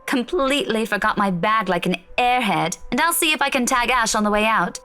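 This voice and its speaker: An actress voicing a breezy, scatterbrained friend in a visual novel game. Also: female voice, fairly high pitch, expressive, fairly quick, pushed and bright. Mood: breezy, hurried.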